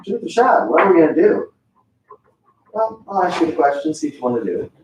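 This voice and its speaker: A man's voice: a little high, sing-song, brisk. A man teasing a guest with a question in a casual chat among co-workers. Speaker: co-worker at the table. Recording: very echoey room, mic far off, faint noise.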